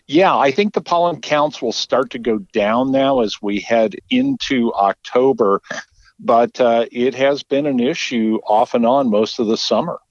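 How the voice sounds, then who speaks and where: A man co-hosting a radio talk show, chatting casually in an agreeable, measured way. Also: mid-range voice, no room sound, lo-fi sound. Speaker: radio co-host. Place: radio studio.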